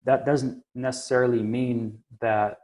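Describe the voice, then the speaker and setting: A man talking through technical points in a conversational, measured and halting way, like an experienced expert thinking aloud. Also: medium pitch, steady tone, darkish tone. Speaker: aviation maintenance engineer and inspector, podcast regular. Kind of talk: conversational explanation.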